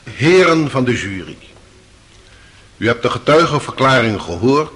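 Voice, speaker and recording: An actor playing a stern, solemn public prosecutor giving grave, rhetorical courtroom oratory in a radio drama. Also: male voice, mid-range voice, fairly bright tone, breathy edge, full character voice.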